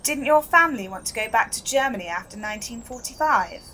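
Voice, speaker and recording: Inquisitive journalist, high lively voice, faint outdoor noise behind. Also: female voice, fast talker. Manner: inquisitive, questioning. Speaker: actor in an audio drama. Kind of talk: audio drama acting.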